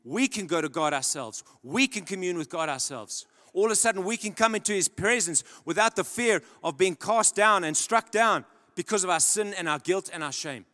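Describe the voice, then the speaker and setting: A young man preaches from a big church stage, holding a handheld mic. His voice sits a little high, and he moves from loud emphatic peaks down to low, quiet lines. A long reverb from the hall trails after his words. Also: animated, normal speed, few pauses. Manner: dramatic, builds to loud emphatic peaks then drops low. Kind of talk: sermon.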